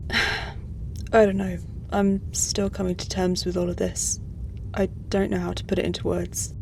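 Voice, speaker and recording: A woman's voice: lowish voice, level tone, unhurried, creaky, a little mellow, a little hiss or hum. A woman playing a lost, troubled human navy officer out of her time in a science-fiction audio drama, speaking hesitantly. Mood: lost, downcast. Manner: hesitant, subdued.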